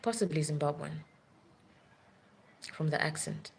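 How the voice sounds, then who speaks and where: A woman's voice: deep voice, measured pace, vocal fry, a little hiss or hum. A woman who works as a nurse, telling a long story in a rambling, urgent way on a live stream. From Africa.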